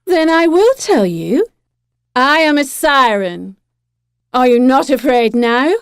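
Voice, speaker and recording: A woman plays a young siren in an audio drama, imperious and cruelly playful. She speaks slowly and willfully, with very animated pitch, in a close, dry recording. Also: smooth, no echo, heavily acted. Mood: imperious, coy. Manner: willful, teasing, deliberate.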